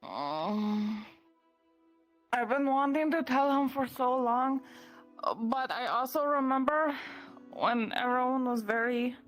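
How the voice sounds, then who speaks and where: A woman acts out a chatty young adventurer in a fantasy roleplay. She rambles casually in slangy modern speech at a relaxed, slow pace. Soft music plays faintly underneath. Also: high-pitched, poor audio. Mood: uneasy, earnest. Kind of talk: improvised in-character roleplay, casual chat.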